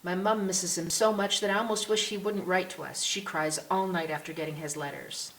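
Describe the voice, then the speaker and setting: A woman's voice: on the low side, steady tone, brisk, a bit bright. Woman as a polite little English schoolboy, echoey room. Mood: earnest, wistful. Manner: respectful, earnest. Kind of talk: audiobook character voice. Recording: roomy echo with clear hiss.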